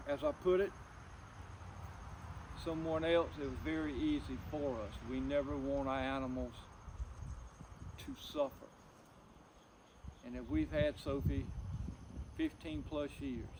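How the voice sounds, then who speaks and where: A middle-aged man talking personally to camera, subdued and grieving, in a halting, plain-spoken, folksy way. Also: on the high side, background noise. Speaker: outdoors vlogger.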